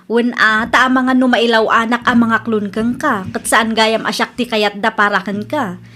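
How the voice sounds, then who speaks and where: A woman's voice: fairly high pitch, steady tone, moderate pace, bright, forceful tone. A woman playing a choosy, trusting but demanding young sweetheart in an Ilocano radio comedy drama, with an Ilocano accent. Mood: firm, coy.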